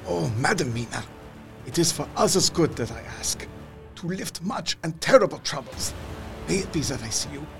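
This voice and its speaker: An actor playing a grave, courtly foreign doctor in an audio drama, speaking formally in halting, foreign syntax. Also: male voice, fairly high pitch, expressive, vocal fry, over music. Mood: solemn pleading. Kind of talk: radio drama acting.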